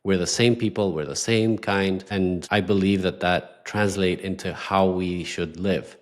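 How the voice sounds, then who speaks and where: A man raised in Ecuador hosting a podcast interview, talking in a halting way and often repeating words. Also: low voice. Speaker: podcast host. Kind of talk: casual interview talk.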